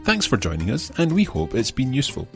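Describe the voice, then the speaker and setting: A man's voice: mid-range voice, huge pitch swings, a little fast, faint music behind. A man presenting a scripted language lesson, explaining step by step and repeating phrases. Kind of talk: scripted explanatory teaching narration.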